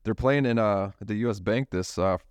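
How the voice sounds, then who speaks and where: A man's voice: on the low side, normal speed, clean tone, no echo, dull audio. A young man co-hosting a podcast, telling a rambling story in casual chat and laughing often. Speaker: podcast co-host.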